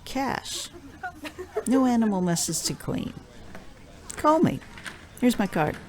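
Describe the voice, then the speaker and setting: An actress playing a brisk, bossy female head cleaner in an audio drama, blunt and businesslike. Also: on the high side, very animated, a little hiss or hum. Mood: brisk, persuasive. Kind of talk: scripted audio drama acting.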